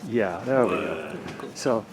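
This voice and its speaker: A man's voice: deep voice, animated. Chatty male interviewer, crowd behind. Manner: curious, chatty, quick asides. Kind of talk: casual interview. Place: busy studio workspace.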